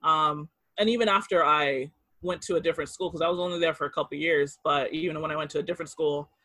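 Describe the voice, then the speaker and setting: A man in his thirties telling personal stories in an interview, halting with fillers and restarts and laughing easily. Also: on the high side, steady tone, bright, forceful tone, no echo. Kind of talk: casual storytelling interview.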